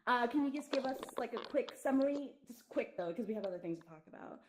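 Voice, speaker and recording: A woman's voice: fairly high pitch, animated, quick pace, roomy sound, noisy background. A woman hosting a casual talk show, teasing her guest and giggling often. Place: virtual reality social space.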